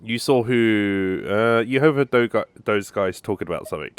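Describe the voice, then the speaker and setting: A man's voice: fairly low pitch, moderate pace, clean tone, dry recording. A man giving casual game commentary as a video host, musing aloud and reacting to the dialogue. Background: faint game music.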